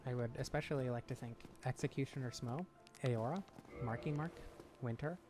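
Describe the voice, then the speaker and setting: A man's voice: medium pitch, brisk, a little mellow, soft background music. A man giving casual gameplay commentary, his voice a little scratchy from weeks of not talking. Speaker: gaming channel host. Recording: home room with fan noise behind. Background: fan noise.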